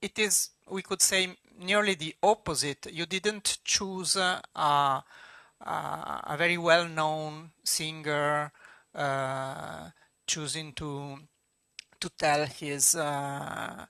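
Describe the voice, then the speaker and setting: A native Italian speaker with an Italian accent hosting an interview in English, halting and searching for words. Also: medium pitch, fairly even intonation, gravelly, pushed and bright, smooth, dry recording, clean studio sound. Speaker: cinema host interviewer. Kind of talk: interview questions.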